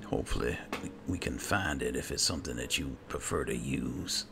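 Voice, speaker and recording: A man doing roleplay gameplay commentary, musing to himself in a halting way. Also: very deep voice, gravelly, noisy background. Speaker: gaming host, player. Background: game music.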